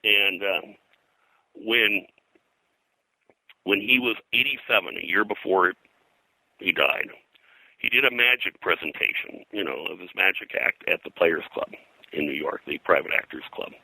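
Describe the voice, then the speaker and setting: A man's voice: deep voice, expressive, a little slow, gravelly, long pauses, pushed and bright, dry recording, poor audio. An older man telling rambling anecdotes as an interview guest, drifting into digressions and lists of names. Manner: digressive, name-dropping storyteller. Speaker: pop culture historian and guest.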